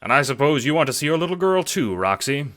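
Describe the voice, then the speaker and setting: An actor playing a cocky, egotistical gangster adventurer with jovial old-time gangster talk in an audio drama. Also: male voice, fairly high pitch, very animated, fast, fairly bright tone. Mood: impatient. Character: scheming, brash, egotistical male gangster adventurer.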